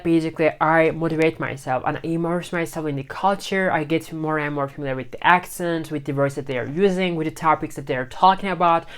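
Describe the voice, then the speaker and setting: A man's voice: a little high, fairly bright tone. A man with a Turkish accent whose first language is Turkish, earnestly explaining how he studies English and repeating points to stress them. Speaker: English learning vlogger. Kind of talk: explaining study tips to viewers.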